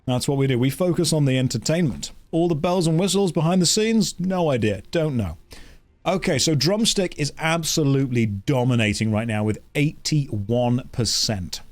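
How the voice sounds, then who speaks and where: A man's voice: mid-range voice, lively intonation, normal speed. A man with a British accent hosting a casual livestream, reading chat polls aloud in a playful, wry, jokey way. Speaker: streamer.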